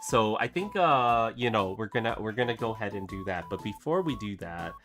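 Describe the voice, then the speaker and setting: Rambling man, bright voice, soft music. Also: lowish voice, lively intonation, brisk. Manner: rambling, many fillers and restarts. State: recovering from a cough. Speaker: artist streaming a drawing session. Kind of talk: casual chat while drawing. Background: soft background music.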